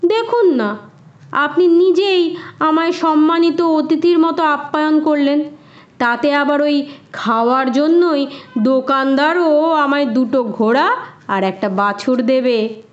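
A woman's voice: sits high, a little slow, dull audio. A woman voicing a sly, cheeky, confident poor peasant man in a storybook tale. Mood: innocently cheeky.

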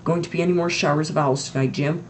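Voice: mid-range voice, steady tone, quick pace, a little hiss or hum, lightly acted. A reader doing a chirpy male TV newscaster. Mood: wry. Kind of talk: mock news broadcast reading.

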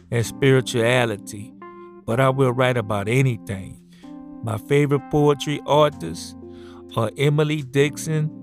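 A middle-aged man from New Orleans, a poet reading a measured self-introduction and a poem. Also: medium pitch. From New Orleans, USA. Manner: measured, spells words out. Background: soft guitar music.

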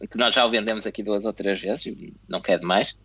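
A man talks casually as a co-host, stopping often on fillers before picking his thread back up. His slightly low voice carries a bright, forceful edge. The sound is dry and dull, like lo-fi call audio. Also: fairly even intonation, brisk, breathy edge, no room sound. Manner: hesitant, many fillers and restarts. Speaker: co-host of a motorsport tech talk. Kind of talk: casual chat. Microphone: remote call mic.

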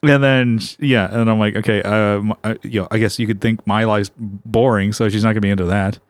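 A man's voice: fairly low pitch, fairly even intonation, a little fast, dry recording. Laid-back young man co-hosting. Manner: laid-back, agreeable, laughs often. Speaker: podcast co-host. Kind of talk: casual podcast chat.

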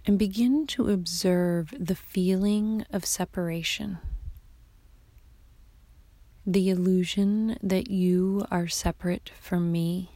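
A woman guiding a yoga nidra meditation in a soft, hushed, half-whispered voice, giving calm, soothing instructions. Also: on the low side, slow, dry recording. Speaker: meditation guide.

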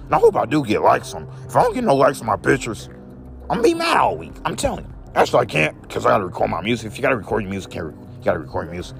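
A young man with a deep voice, talking in a rambling, repetitive vlog rant, whose voice jumps high when he gets upset. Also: huge pitch swings, racing, creaky, a bit bright, faint music behind. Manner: repeats each phrase, voice leaps high when upset. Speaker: vlogger.

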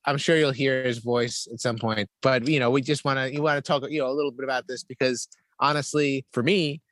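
A man's voice: mid-range voice, steady tone, fairly quick, fairly bright tone, no echo, clean studio sound. Emphatic man hosting a podcast. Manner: emphatic, repeats himself, profane. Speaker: podcast host. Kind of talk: casual movie chat.

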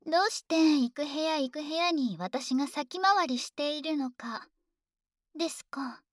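A woman playing a doting, domineering dragon maid in an ASMR voice drama, speaking politely with gentle teasing, close to the microphone. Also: sits high, animated, measured pace, no room sound. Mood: teasing, confident. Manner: polite servile speech, gently teasing and coddling. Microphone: close microphone, dry.

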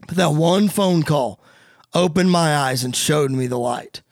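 A man's voice: fairly high pitch, unhurried, vocal fry, no echo, studio quality, pitched below the performer's own voice. A man playing a smug, showy wrestling villain giving a pompous, theatrical speech to the crowd in a wrestling story. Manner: pompous, theatrical promo delivery.

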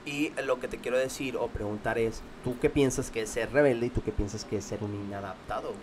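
A man's voice: mid-range voice, steady tone, a little fast. A young man with a Mexican accent and a stuffy, congested nose, co-hosting a casual podcast in a laid-back, slangy way. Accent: Mexican Spanish. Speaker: podcast co-host. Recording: noticeable background noise.

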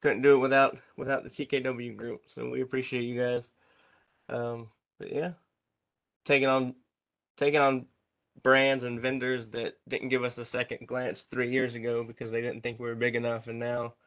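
A man giving a casual business update on a group call, steady and filled with ums. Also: mid-range voice, moderate pace.

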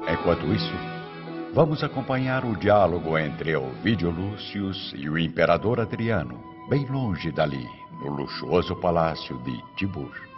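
Deep-voiced man narrating a radio drama. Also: very animated. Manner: solemn, measured storytelling. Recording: muffled radio recording over music.

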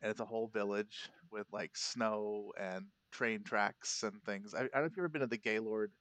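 A man's voice: on the low side, moderate pace, a bit bright, dry recording. A man in his thirties, a podcast host, telling a rambling holiday story in casual chat, often restarting his sentences. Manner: rambling, self-correcting storyteller.

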